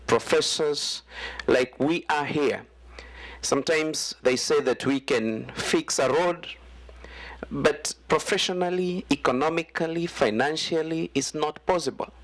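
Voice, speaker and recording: Kenyan man, mid-pitched, formal audience questioner building up his point. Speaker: audience member asking a question. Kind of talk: question from the floor.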